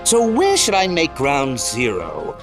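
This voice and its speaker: Crazy gloating clown supervillain for an audio drama, super animated, mocking, music behind him. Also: male voice, medium pitch, takes it slowly, full character voice. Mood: mock sweet. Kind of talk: audio drama villain acting.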